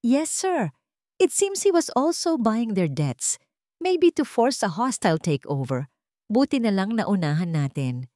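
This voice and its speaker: A woman's voice: mid-range voice, very animated, a little slow, mellow, no room sound, clean studio sound, voice pushed up. A woman with a Filipino accent voicing a deferential male business associate giving a formal report in a story. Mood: businesslike, deferential.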